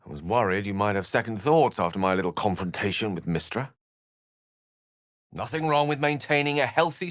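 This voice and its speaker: A learned wizard from a fantasy video game, with a fairly low voice that moves expressively up and down. He phrases things elaborately and theatrically, with dry wit, in a close, dry recording. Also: a bit bright, no echo. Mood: earnest, intense. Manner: articulate, wordy, theatrical phrasing. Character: eloquent, scholarly male human wizard with dry wit.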